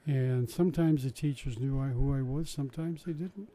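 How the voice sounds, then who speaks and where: Older guy, super mellow dark voice, rambly wry storytelling with lots of restarts. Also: medium pitch, normal speed. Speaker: interviewee recalling his science show work. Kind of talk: casual oral-history storytelling.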